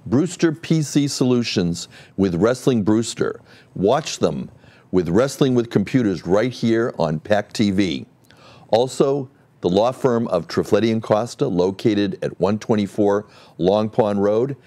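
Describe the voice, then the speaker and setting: A man with a low, warm voice guest-hosts a community cable talk show in a studio. He is friendly and a little halting, reading announcements with frequent fillers. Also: lively intonation. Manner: friendly, reads sponsor list with fillers. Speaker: guest host.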